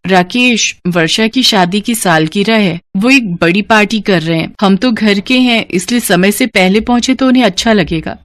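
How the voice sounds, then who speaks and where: A woman voicing a mild, accommodating poor housewife and mother in an animated moral story. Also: medium pitch, normal speed, few pauses, no echo, lightly acted.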